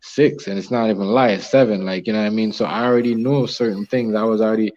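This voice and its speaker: A man's voice: lowish voice, fairly even intonation, fast, darkish tone. Man, chef, Jamaican-born and Toronto-raised, casual rambling chat, many restarts, swearing, muffled lo-fi audio. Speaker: chef, co-host. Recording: dull lo-fi sound.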